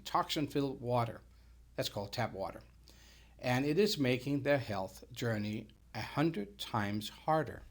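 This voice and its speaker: A man's voice: medium pitch, breathy edge. A middle-aged man from Denmark with a Danish accent, a native Danish speaker, delivering a scripted sales presentation. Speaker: business owner and presenter.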